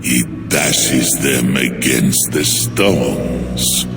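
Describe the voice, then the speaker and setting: An actor voicing a menacing male demon that speaks through a king, slow and quoting scripture in a deep gravelly growl. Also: loud music behind the voice, exaggerated voice. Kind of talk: video game cutscene. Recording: long hall reverb.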